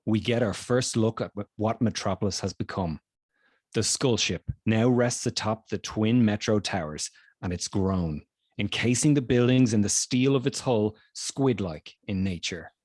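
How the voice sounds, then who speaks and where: Husky man reading stage directions. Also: fairly low pitch, normal speed, a little mellow, no room sound. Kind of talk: scripted narration at a table read.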